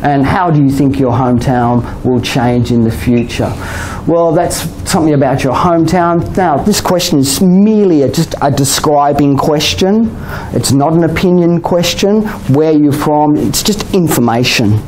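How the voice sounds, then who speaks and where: A man with an Australian accent giving a relaxed exam-prep lecture to a class, often checking in with "okay?" and "you know?". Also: on the high side, normal speed, a little hiss or hum, poor audio. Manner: chatty, many "okay?" and "you know?" tags, laughs often. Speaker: IELTS teacher.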